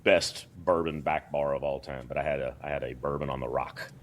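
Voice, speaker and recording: A man's voice: rumbling low, brisk, slight background noise. A man hosting a podcast, joking around in a casual, folksy way from a studio. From Kentucky. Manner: jokey, animated, folksy. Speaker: podcast host. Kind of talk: casual chat.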